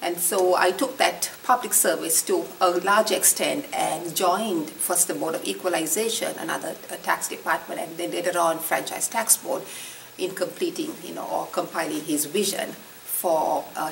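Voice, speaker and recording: A woman from Sri Lanka speaks in a fairly low voice, earnest and heartfelt, dropping softer at moments. The mic sits some way from her, and faint noise hangs in the room. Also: fairly even intonation, normal speed, vocal fry, distant mic, poor audio. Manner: earnest, heartfelt, soft at times. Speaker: award recipient interviewee. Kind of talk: personal interview recollection.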